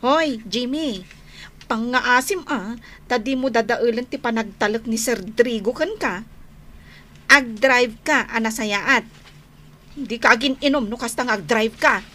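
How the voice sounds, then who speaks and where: An exasperated Ilocano wife in a radio comedy drama. Her voice is very high and piercing, and she nags emphatically about a lazy husband with an Ilocano accent. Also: unhurried, pauses often, sharp tone. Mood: earnest warning. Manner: nagging, emphatic, exclaiming.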